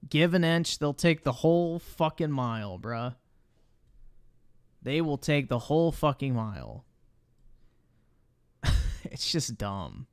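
Clear-voiced man, blunt and profane streamer. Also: mid-range voice, sing-song, takes it slowly, long pauses. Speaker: livestreamer reacting to videos. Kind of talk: casual live-stream reaction commentary.

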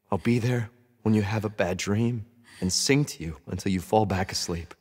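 An actor playing a gentle, affectionate father musing fondly about his baby daughter in a video game. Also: lowish voice, husky, big-room sound. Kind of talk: reflective video game voice acting.

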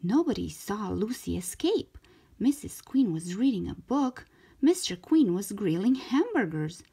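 Slow, animated woman reading a children's story. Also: medium pitch, vocal fry, husky. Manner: animated, careful storytelling delivery. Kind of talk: expressive children's read-aloud narration.

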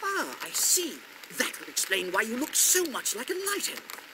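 A man's voice: extremely high pitch, wildly swooping pitch, normal speed, noisy background, full character voice. An actor playing a polite, flustered middle-aged male knight in a video game, hesitant, deferential and earnest. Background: music.

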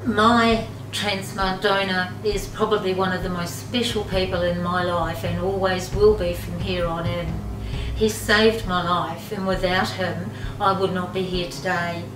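A woman's voice: fairly low pitch, fairly even intonation, unhurried, reverberant, recorded from a distance, music in the background, low-quality recording. An older woman giving heartfelt, pleading testimony in an interview. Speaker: interviewee, transplant recipient. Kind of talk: earnest testimony.

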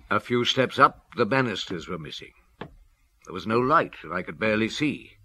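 A man acting as a brooding middle-aged police detective, narrating a radio drama in a measured, dramatic way. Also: on the low side, animated, pushed and bright, slight background noise. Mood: tense, suspenseful.

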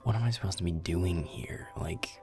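A man's voice: low-pitched, normal speed, over music. A man playing a grumpy, defensive werewolf boyfriend in a roleplay audio, muttering sarcastically as he plays a video game. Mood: irritated. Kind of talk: roleplay audio, gaming chat with listener.

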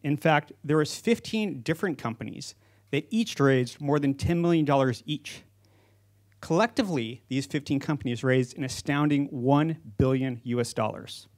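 A man's voice: mid-range voice, moderate pace. A man in his forties giving a prepared conference speech as a company founder, speaking into a handheld microphone on stage. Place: stage in an auditorium with seated audience.

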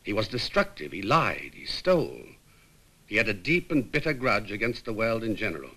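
An actor playing an articulate British psychiatric nurse, gravely narrating a case history in a 1950s film. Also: male voice, big pitch swings, sharp tone, heavily acted, poor audio. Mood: grave, explanatory. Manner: measured, grave, emphatic. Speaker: hospital staff member recounting a case history. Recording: faintly rumbling 1950s film soundtrack.

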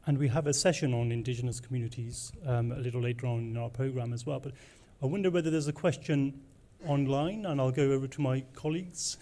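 A man's voice: mid-range voice, fast talker, warm tone. A young man moderating a conference session, politely handing over to questions from a handheld microphone. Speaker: host, moderator. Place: conference room with audience.

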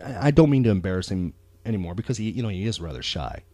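Animated American man, emphatic podcast co-host. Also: low voice, fast, no room sound. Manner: emphatic, earnest, rambling. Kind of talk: casual chat.

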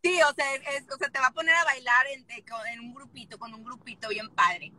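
A woman's voice: sits high, a little fast, sharp tone. A woman, chatting and teasing on a live video call from a car, with her phone at arm's length. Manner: playful, slangy, teasing. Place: inside a car. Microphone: phone held at arm's length.

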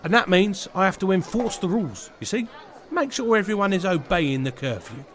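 An actor playing a self-important, put-upon village bailiff with a British accent and colloquial speech in a comic audio drama. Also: male voice, high voice, expressive, brisk, a bit bright, a little hiss or hum. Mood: self-important, irritated.

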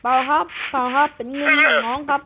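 High-voiced playful cartoon narrator woman. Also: fast talker, background noise. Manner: lively, switches between characters' lines.

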